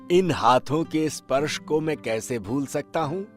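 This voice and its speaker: Man playing a slow-spoken old village headman. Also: fairly high pitch. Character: elderly village headman and grandfather, grave, affectionate. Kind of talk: Hindi animated story acting. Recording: faint music behind the voice.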